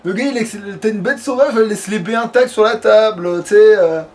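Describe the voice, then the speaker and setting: A man's voice: high voice, fairly quick, a little mellow. A man giving casual let's play commentary, muttering to himself in informal, mildly crude language. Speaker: let's player. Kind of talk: casual gameplay commentary with a friend. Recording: noisy amateur recording.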